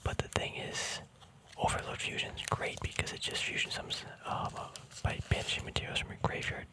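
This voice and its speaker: A man speaking in a soft, unvoiced whisper, relaxed and chatty, hosting a casual ASMR walkthrough. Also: fairly quick. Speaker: ASMR video host.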